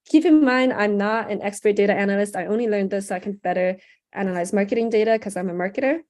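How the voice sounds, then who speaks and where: A young woman runs a live video-call tutorial through a headset, talking in a loose, casual way full of ums and likes. Her voice sits on the mellow, darker side, and a sore throat keeps her clearing it. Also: mid-range voice, fast talker. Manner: casual, chatty, frequent "um" and "like". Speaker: workshop instructor. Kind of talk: live online workshop screen-share tutorial.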